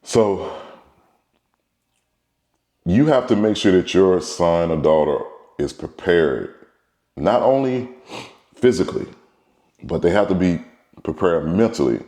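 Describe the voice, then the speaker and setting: A middle-aged man with a very low, mellow voice speaks with a stuffed-up nose from a cold. He rambles through a casual monologue as a show host, often repeating and restarting phrases. The room around him sounds echoey. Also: animated, slow, long pauses. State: congested from a cold. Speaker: baseball trainer and podcast host.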